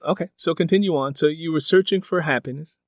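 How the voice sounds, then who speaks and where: A man's voice: on the high side, fast talker, no room sound. Man interviewing in a dry, close sound. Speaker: interviewer, host. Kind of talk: conversational interview, probing questions.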